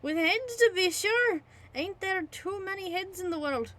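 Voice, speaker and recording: A woman's voice: very high-pitched, expressive, measured pace, pushed and bright, slight background noise, pitched above the performer's own voice, full character voice. A woman voicing a sly, chuckling older male innkeeper in a put-on British accent. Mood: amused. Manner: jovial, teasing.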